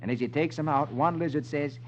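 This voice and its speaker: A man's voice: a little high, brisk, heavy background noise. A man hosting a 1950s children's radio show, telling stories from the funny pages in a theatrical way. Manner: animated, theatrical. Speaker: host reading the funny pages. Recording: muffled 1950s radio recording, music behind.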